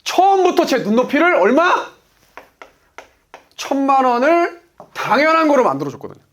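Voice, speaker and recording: A man's voice: very high-pitched, very animated, normal speed, fairly bright tone. A man in his forties giving a motivational business talk as a coach, emphatic, asking rhetorical questions and answering them himself. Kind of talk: motivational lecture.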